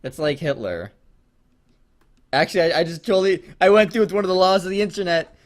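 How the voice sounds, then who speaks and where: A man co-hosting a fan fiction reading show, chatting casually and jokingly in a rambling, halting way with some stammering. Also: high-pitched, animated.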